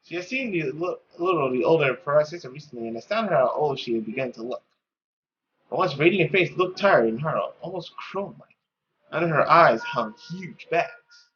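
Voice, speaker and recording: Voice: medium pitch, lively intonation, fairly quick, a bit bright, dull audio, slight background noise. A playful, theatrical narrator reading a story aloud, stumbling now and then and dropping in joking asides. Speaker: let's play narrator reading a visual novel.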